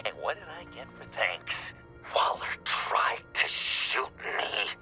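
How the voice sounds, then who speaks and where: A man voicing a manic, gleeful clownish vigilante villain in a video game, lurching theatrically between whispery menace and shrill glee. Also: vocal fry, bright, forceful tone, full character voice, low-quality recording. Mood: wounded, bitter.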